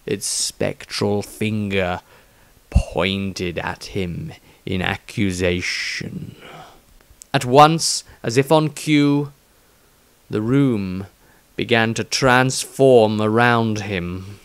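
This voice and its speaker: Slow, dramatic male storyteller, dry recording. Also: fairly low pitch, smooth, no room sound. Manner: deliberate, suspenseful, dramatic phrasing. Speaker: author reading own story. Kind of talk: dramatic scripted fantasy audiobook narration.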